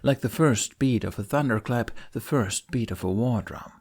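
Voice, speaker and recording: A man's voice: medium pitch, moderate pace, a little mellow, slightly rough. Male audiobook narrator, mid-range voice. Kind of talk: expressive scripted fiction narration.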